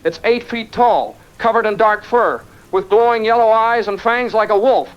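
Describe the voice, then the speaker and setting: A man's voice: high-pitched, moderate pace, natural voice. A man playing a shaken but rational caller, giving an earnest, tense eyewitness account in a radio drama. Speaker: phone-in caller. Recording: dull, band-limited phone-in sound.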